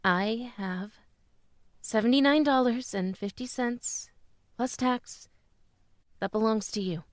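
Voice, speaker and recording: A woman's voice: fairly high pitch, slow, no room sound. A woman playing a guarded, proud widowed mother with a hesitant manner in a radio drama. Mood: subdued. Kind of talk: old-time radio drama acting.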